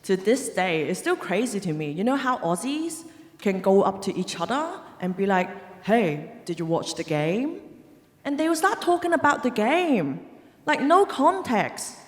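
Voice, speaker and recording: A young woman from Hong Kong doing a stand-up set on stage, delivering deadpan punchlines and laughing at her own jokes. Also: medium pitch, a little slow. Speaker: stand-up comedian. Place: comedy club stage. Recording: live venue with long reverb. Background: audience laughter.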